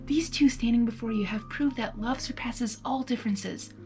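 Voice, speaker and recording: A woman's voice: on the high side, moderate pace, darkish tone, lightly acted. A woman voicing a solemn, regal female alicorn pony in a formal, ceremonious manner, in a story reading. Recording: music behind the voice.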